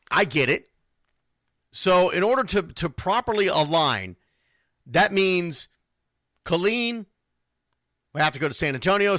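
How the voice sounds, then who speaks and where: A man's voice: high-pitched, sharp tone, no echo, studio quality. A man hosting an unscripted sports talk show, answering listeners in a blunt, emphatic way and repeating phrases for stress. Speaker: sports radio show host.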